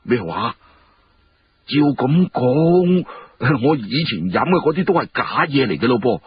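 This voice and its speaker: A man voicing a dignified old chancellor in his seventies in a Cantonese story, measured and savouring his words. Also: mid-range voice, very animated, dull audio, slight background noise. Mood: intrigued.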